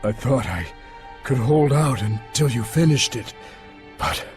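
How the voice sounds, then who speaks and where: Man acting a slow, solemn old warrior. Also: mid-range voice, expressive, faint music behind, natural voice. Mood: weakened, regretful. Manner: slow, grave, deliberate. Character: solemn old warrior mentor, male, noble and stoic. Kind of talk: dramatic fantasy game voice acting. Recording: loud orchestral game score behind.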